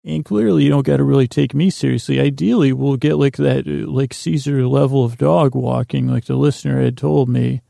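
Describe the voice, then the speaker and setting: Gravelly, rambling male podcast host. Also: medium pitch, steady tone, dark tone, dry recording, clean studio sound. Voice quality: gravelly, creaky. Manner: meandering, halting, digressive, loses his thread. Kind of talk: rambling bedtime monologue meant to help listeners sleep.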